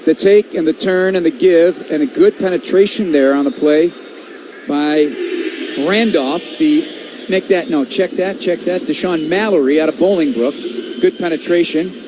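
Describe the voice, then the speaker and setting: A man with an American accent announces football play by play for radio. His voice sits well above the usual male pitch, and there is clear background noise of the venue around him. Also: moderate pace. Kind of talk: live radio football commentary.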